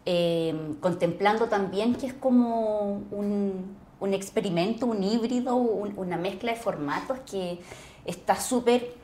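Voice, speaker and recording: A woman's voice: medium pitch, unhurried, warm tone. A woman with a Chilean accent in a casual interview, reflective and searching for words as she piles up lists. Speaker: actress, podcast guest. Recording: roomy echo, background noise.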